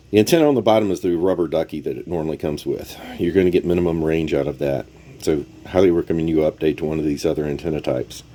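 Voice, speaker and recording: Deep voice guy casually walking thru his gear, phone video, bit of noise behind him. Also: dark tone. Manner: plain-spoken, informal, explanatory. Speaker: host of an off-road radio gear overview. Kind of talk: casual unscripted product walkthrough. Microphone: phone used for filming. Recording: noisy phone camera audio.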